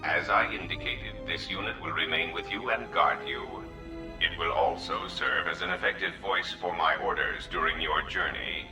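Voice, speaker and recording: A cold, calculating droid crime lord from a video game, with an extremely deep voice. The delivery is formal and menacing, with a robotic tint, echoing from a distance under music. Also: male voice, normal speed. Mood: imperious, measured. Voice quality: very deep, gravelly.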